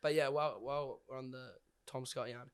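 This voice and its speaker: A man's voice: mid-range voice, fairly even intonation, fairly quick, clean tone. A man with a New Zealand accent talking casually and informally, with plenty of slang, as a guest in a conversation. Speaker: podcast guest, hip-hop artist.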